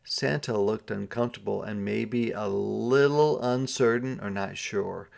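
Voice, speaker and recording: A man reading a children's storybook aloud as a teacher, deliberately explaining words and events as he goes. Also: fairly low pitch, creaky.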